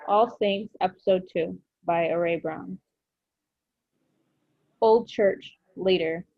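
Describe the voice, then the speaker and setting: A woman's voice: lowish voice, slow, gravelly, no echo. A woman reading screenplay stage directions aloud as narrator at a table read. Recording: dull lo-fi recording.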